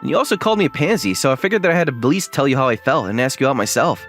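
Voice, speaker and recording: A man's voice: mid-range voice, lively intonation, rapid-fire, fairly bright tone, faint music behind, close to an everyday voice. A man voicing an earnest, considerate, easily flustered young man who also narrates a manga dub. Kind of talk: acted dialogue and first-person narration.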